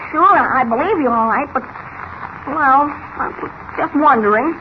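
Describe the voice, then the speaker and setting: An actor playing an eager, naive, boyish cub reporter with an earnest manner in 1940s radio style. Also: male voice, very high-pitched, a little slow. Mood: puzzled, doubtful. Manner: earnest, gee-whiz. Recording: noisy, muffled old radio recording.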